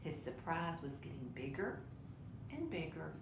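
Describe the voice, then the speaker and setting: A woman reading a children's picture book aloud in an expressive way. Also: normal speed. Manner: expressive, with brief asides to listeners. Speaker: storybook reader. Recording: roomy echo, clear low rumbling noise.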